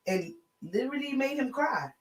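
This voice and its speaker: A woman's voice: high voice, expressive, moderate pace. A woman co-hosting a casual chat, with a heavy, confidently strong voice that she keeps down. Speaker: co-host. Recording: echoey room.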